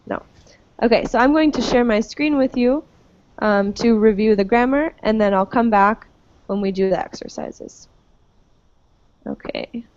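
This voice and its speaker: A woman's voice: on the high side, measured pace, long pauses, darkish tone, highs cut off. Patient woman teaching English online. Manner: patient, many fillers. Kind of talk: live online class, friendly explaining. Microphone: computer mic on a video call.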